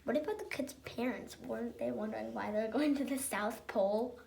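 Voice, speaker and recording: High, creaky-voiced female picture-book commentator. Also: fairly quick, darkish tone, slight background noise. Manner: musing, questioning. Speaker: read-aloud co-host.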